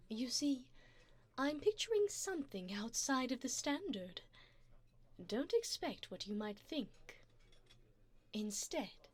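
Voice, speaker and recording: A woman playing a wealthy, seductive vampire in an ASMR roleplay, doting yet predatory, with a poised, formal, teasing and breathy delivery. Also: a little high, full character voice. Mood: seductive, hungry. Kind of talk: ASMR roleplay, addressing the listener.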